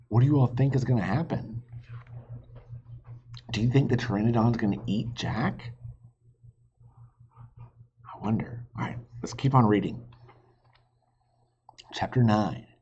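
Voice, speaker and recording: Slow, expressive male storyteller. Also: fairly low pitch, a little hiss or hum. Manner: expressive, asks listeners questions. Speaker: teacher reading aloud. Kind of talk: children's read-aloud. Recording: dull lo-fi recording.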